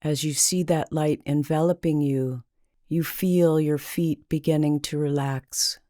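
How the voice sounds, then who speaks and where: Slow, low, mellow woman guiding meditation. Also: dry recording, studio quality. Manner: slow, calming, deliberate with long pauses. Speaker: meditation guide. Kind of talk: guided meditation narration.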